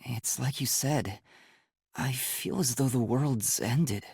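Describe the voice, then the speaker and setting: A man voicing an earnest, timid young male detective in a video game, soft-spoken and hesitant, with a breathy, youthful voice. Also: medium pitch, slow pace, no echo, clean studio sound, exaggerated voice. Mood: pensive. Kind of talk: English video game voice acting.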